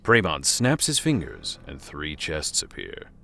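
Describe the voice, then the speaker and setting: An actor playing a solemn storyteller, narrating a scripted fantasy audio drama. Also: male voice, extremely low pitch, huge pitch swings, measured pace, gravelly, sharp tone, slight background noise, exaggerated voice. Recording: music bed under the voice.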